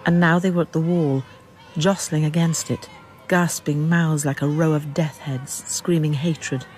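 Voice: medium pitch, steady tone, measured pace, a little mellow, shouting, a faint crowd. Dramatic narrator with mid-range voice.

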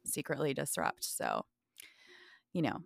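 A woman from the Midwest co-hosting a podcast, talking in a rambling, animated way full of likes. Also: very deep voice, measured pace, gravelly, dry recording, clean studio sound. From American Midwest. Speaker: podcast co-host. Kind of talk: casual podcast chat.

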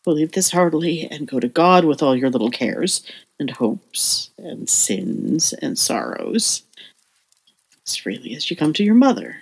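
Woman acting as a low-voiced, devout gentle mother. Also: big pitch swings, creaky, husky, full character voice. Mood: earnest, soothing. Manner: earnest, measured, tender counsel. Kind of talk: audio play acting of a period novel.

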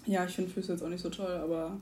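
A woman's voice: on the low side, level tone, fast, a little mellow, echoey room, a little hiss or hum. A young woman chatting casually on a vlog, dry and sarcastic, often trailing off unsure. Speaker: vlog co-host. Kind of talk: casual chat.

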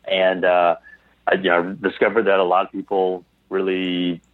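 A man as an interview guest, telling anecdotes casually and haltingly over a tinny remote call. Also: deep voice, monotone, no echo. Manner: halting, repeats phrases as he thinks aloud.

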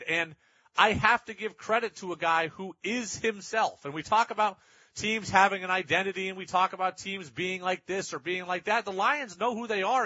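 A man's voice: sits high, bright, forceful tone, no echo, lo-fi sound. A man hosting a sports talk show, giving opinionated commentary in an emphatic way, repeating his points to drive them home.

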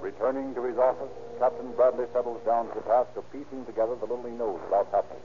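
Male narrator, rapid formal delivery, vintage radio style, music behind, dull old recording. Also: mid-range voice, steady tone. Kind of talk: scripted 1930s radio crime narration.

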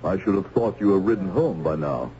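An actor playing a stern, dutiful Puritan minister with formal, archaic speech in an old-time radio drama. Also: male voice, sits low, lively intonation, brisk, crowd noise. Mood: formal, firm. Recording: muffled old radio recording.

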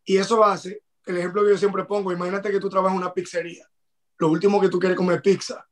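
High-voiced Dominican man, casual chat. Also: fast talker. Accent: Dominican Spanish. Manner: relaxed storytelling. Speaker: podcast panelist.

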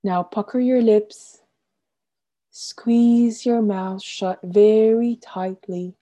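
Slow, very mellow woman guiding relaxation. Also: mid-range voice, fairly even intonation. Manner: soothing, gentle, deliberate instruction with soft trailing phrase endings. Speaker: guided meditation instructor. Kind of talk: guided relaxation meditation, scripted instruction.

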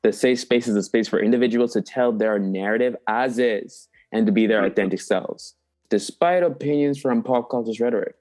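A young man hosting a casual interview podcast, animated and playful, laughing often and drawing out names for fun. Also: mid-range voice, moderate pace. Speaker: podcast host.

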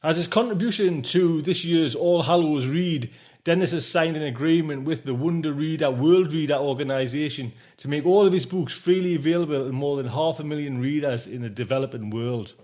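A man's voice: on the high side, a little fast, a little mellow. A man hosting a podcast in a casual, rambling, informal way, dropping in conversational tag phrases. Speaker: podcast host.

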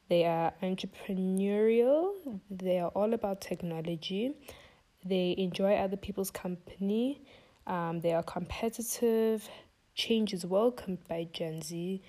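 A woman's voice: on the low side, mellow. A young woman hosting a podcast, talking casually and thinking aloud in a string of questions. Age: young adult. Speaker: podcast host.

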